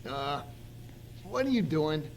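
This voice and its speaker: A brash photojournalist in a video game, wry and questioning. His voice sits a little high, over a faint rumble of background noise. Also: very animated, slow, heavily acted, rough recording. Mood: uneasy. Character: brash, skeptical male photojournalist, cocky and inquisitive. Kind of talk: video game cutscene acting.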